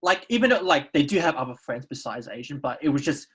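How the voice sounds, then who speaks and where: A woman's voice: low voice, big pitch swings, fast talker, fairly bright tone, husky. A woman in her twenties with an Australian accent, chatting casually as a podcast co-host, hesitating and repeating her words. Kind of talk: casual group chat.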